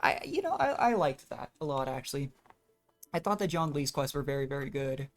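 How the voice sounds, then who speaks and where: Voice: medium pitch, very animated, brisk. Rambling game streamer chatting over faint music. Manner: rambling, reflective, thinking aloud. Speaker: video game livestreamer. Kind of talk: casual chat and commentary while gaming.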